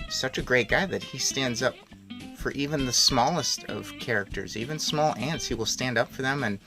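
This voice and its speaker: A man reading a story aloud and commenting on it as narrator. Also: medium pitch. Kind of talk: read-aloud narration with casual recap.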